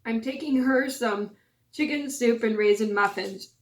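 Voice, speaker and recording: A woman's voice: a little high, measured pace, lightly acted. A woman with a scratchy voice voicing a sweet, trusting little girl in a storybook reading. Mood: innocent.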